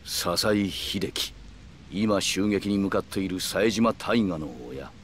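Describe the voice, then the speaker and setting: Low-voiced, calculating yakuza man. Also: slight background noise. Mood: grave, explanatory. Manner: polite, measured, formal explanatory speech. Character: calm, calculating middle-aged yakuza man in a suit. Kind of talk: Japanese video game cutscene acting.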